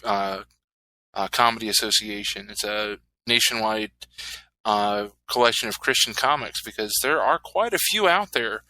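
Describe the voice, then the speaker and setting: A man in his thirties hosting a casual podcast interview, relaxed and rambling with fillers. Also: lowish voice, normal speed, poor audio. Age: 30s. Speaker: podcast host.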